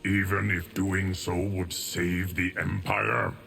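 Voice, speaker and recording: An actor playing a grave, weary and dignified inhuman male warrior in a video game, gravelly and measured. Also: low-pitched, flat delivery, pushed and bright, a little hiss or hum, full character voice. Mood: solemn. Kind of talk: dramatic video game dialogue.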